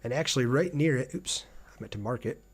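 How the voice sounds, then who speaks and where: A man's voice: mid-range voice, animated, fairly quick, husky. A man doing casual live gameplay commentary as a streamer, chatty, thinking aloud and reading out viewer messages.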